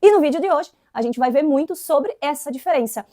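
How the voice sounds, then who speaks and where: Chatty Brazilian woman teacher, bright voice. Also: high-pitched, fairly quick, no room sound, studio quality. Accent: Santa Catarina inland Brazilian Portuguese. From southern Brazil. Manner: chatty, frequent "né" tags, lively emphasis. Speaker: English teacher. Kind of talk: casual lesson to camera.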